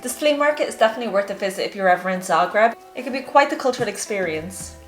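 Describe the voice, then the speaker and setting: A woman's voice: mid-range voice, animated, fast talker, faint music behind. A woman vlogger talking casually and enthusiastically as she narrates a shopping trip.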